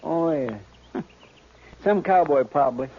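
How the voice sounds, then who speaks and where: High-voiced, drawling frontier sidekick. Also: male voice, a little slow, warm tone, breathy edge. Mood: curious. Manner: homespun, plain-spoken. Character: folksy, deferential male sidekick to a frontier marshal. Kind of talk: 1950s radio western acting. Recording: muffled, noisy vintage radio recording.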